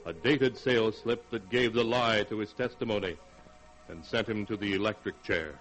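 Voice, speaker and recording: An actor in the role of an ominous, moralizing narrator of 1940s radio horror, solemn and dramatic. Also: male voice, fairly low pitch, moderate pace, fairly bright tone, close to an everyday voice, low-quality recording. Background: faint music.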